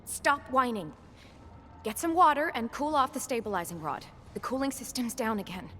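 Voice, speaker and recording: A woman playing a brisk, frustrated researcher in a video game, blunt and pressing, in a reverberant space with faint noise. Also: fairly high pitch, animated, a bit bright. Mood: impatient. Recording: long hall reverb, faint lab noise.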